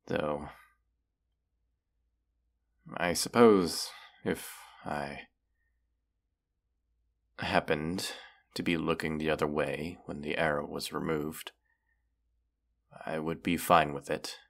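A man's voice: a bass voice, vocal fry, a bit bright, exaggerated voice. A man voicing a proud, mighty dragon in a fantasy roleplay, haughty and formal in manner. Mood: haughty, guarded. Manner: haughty, deliberate, formal phrasing with menacing undertone. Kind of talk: fantasy roleplay audio acting.

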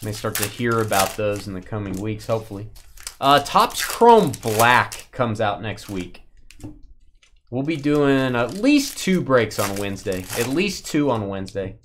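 A man's voice: on the low side, animated, a little slow, fairly bright tone, a little hiss or hum. Chatty Texan man hosting a card break. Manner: chatty, joking, talks to chat viewers. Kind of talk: live-stream card break commentary.

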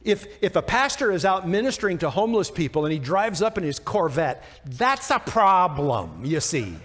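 Higher-voiced American pastor in a reverberant hall. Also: male voice, very animated, normal speed, fairly bright tone. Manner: informal, emphatic, with rhetorical questions. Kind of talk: conversational verse-by-verse Bible teaching. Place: church sanctuary stage, indoors. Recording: long reverb of a church hall.

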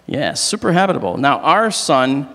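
Slightly hoarse man lecturing in a hall. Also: a little high, moderate pace. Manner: animated, joking with the audience. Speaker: astronomy lecturer. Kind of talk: public lecture with jokes. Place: large hall, on stage. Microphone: handheld mic. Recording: long reverb of a large hall.

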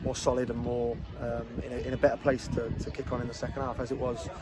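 A man, a football manager giving a measured post-match interview outdoors at a football ground, with many restarts and fillers. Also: medium pitch, little pitch movement. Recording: loud outdoor noise.

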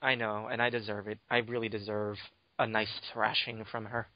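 A man's voice: fairly low pitch, level tone, normal speed, no echo. A man hosting a podcast, chatting casually with crude teasing banter. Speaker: podcast host. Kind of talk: casual chat. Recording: dull lo-fi dry podcast mic.

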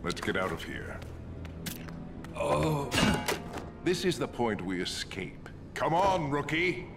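A man's voice: medium pitch, very animated, takes it slowly. A man playing an arrogant, sneering criminal mastermind in a video game, speaking in a pompous, theatrical manner. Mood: smug, boastful. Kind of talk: animated video game cutscene acting. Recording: echoey, loud music behind.

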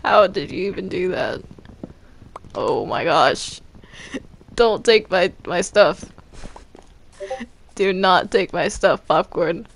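A woman's voice: on the low side, lively intonation, takes it slowly, gravelly, long pauses. A young woman playing a character in a game roleplay, talking casually and stammering when flustered. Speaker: roleplay player.

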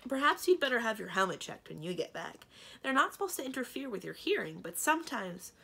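Woman voicing a firm, lively young storybook princess. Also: a little high, brisk, vocal fry, husky, pitched above the performer's own voice, heavily acted. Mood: brisk, exasperated. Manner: emphatic, lecturing, matter-of-fact. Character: strong-willed young princess, brisk, firm, exasperated. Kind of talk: storybook character dialogue.

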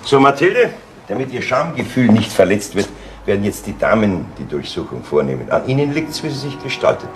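An actor playing a middle-aged Munich chief inspector in a crime radio play, with a Bavarian accent and a dry, ironic, courteous authority. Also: male voice, lowish voice, sing-song, a little fast. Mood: firm. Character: wry, unhurried male Munich police chief inspector. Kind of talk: 1970s German crime radio play acting. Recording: noisy old 1970s radio recording.

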